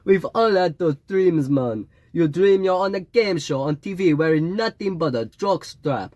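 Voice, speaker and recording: A man voicing a cryptic, pushy psychic in an audiobook, with an exaggerated Jamaican accent and drawn-out, needling delivery. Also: high-pitched, darkish tone, dry recording, pitched above the performer's own voice. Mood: knowing, teasing. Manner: drawn-out mystical pronouncements, needling questions. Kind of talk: audiobook character voice.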